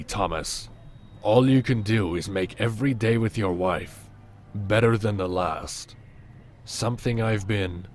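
Gruff, low-voiced male boss played by an actor. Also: close to an everyday voice. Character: gruff, regretful male boss confessing and advising. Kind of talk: scripted audio drama.

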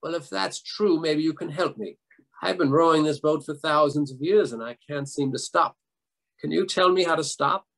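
A man's voice: fairly high pitch, moderate pace, creaky, a bit bright, poor audio. Man playing a weary, questioning boatman. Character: ancient boatman, weary, endlessly rowing.

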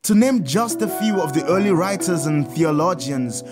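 Kindly priest for audio drama, male actor: instructive storyteller, animated melodic range, music behind. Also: a little high, moderate pace, natural voice. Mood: earnest, explanatory. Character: kindly priest, Father Tad, teaching children about saints. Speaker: priest character telling saints' stories.

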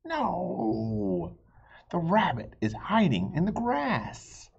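A man voicing stubborn, childlike bickering friends from a picture book, excitable and exaggerated. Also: high voice, extremely slow, gravelly, breathy edge, lo-fi sound, voice pushed up, exaggerated voice. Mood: excited bickering. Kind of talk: children's picture-book dialogue read aloud.

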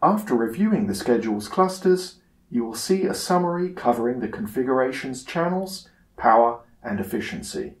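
A man giving a scripted software tutorial narration in a measured, instructional manner. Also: medium pitch, animated, roomy sound.

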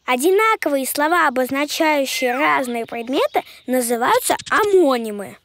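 Tiny cartoon creature: extremely high, lively, kindly know-it-all pupil. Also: female voice, normal speed, no echo, studio quality. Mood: helpful. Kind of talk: animated children's cartoon voice acting.

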